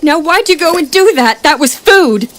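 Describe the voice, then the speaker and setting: A woman's voice: high voice, lively intonation, moderate pace, a little hiss or hum. A woman playing a sick, bitter, hungry wasteland antagonist in an audio drama. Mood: bitter, angry.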